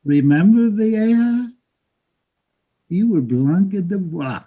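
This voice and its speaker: A man's voice: high-pitched, animated, takes it slowly, warm tone, full character voice. A man playing a proud, theatrical Salvadoran father of thirty-five in a staged reading, with a grandiose, insistent manner. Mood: boastful. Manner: grandiose, insistent, mixes Spanish into English. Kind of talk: live staged play reading.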